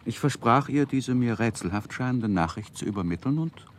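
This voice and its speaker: A man's voice: medium pitch, expressive, normal speed, slight background noise, natural voice. An actor playing a grown man recalling his boyhood, narrating a 1960s German radio drama in a literary, reflective manner. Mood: descriptive.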